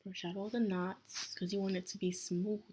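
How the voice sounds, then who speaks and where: A young woman from Nigeria with a Nigerian accent, talking casually through a step-by-step hair tutorial, relaxed and chatty with small self-corrections. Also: mid-range voice, moderate pace, slight background noise.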